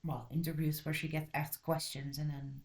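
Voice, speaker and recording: A woman's voice: low-pitched, level tone, moderate pace, a little mellow. A woman from Belgium giving a casual, rambling book review, often correcting herself mid-sentence and wandering off on tangents, with a blunt, direct manner. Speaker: channel host reviewing a book.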